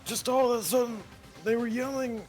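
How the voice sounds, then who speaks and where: A man acts out a flustered young guy in a game, hesitant and dazed. His voice sits high, with faint music behind. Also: fairly even intonation, normal speed, darkish tone.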